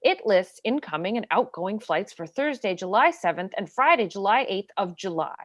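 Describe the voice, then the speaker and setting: A woman's voice: medium pitch, big pitch swings, normal speed. A woman playing a dogged military defense lawyer in a dramatic script reading, questioning a witness in a pointed, insistent way. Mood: formal.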